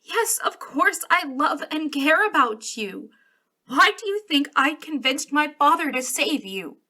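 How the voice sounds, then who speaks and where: A woman's voice: high-pitched, big pitch swings, pushed and bright, heavily acted. A woman playing an arrogant, jealous young princess in a dramatic ASMR roleplay, imperious but wounded and emotional. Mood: indignant. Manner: imperious, emotional, halting when hurt. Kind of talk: ASMR roleplay, dramatic one-sided dialogue.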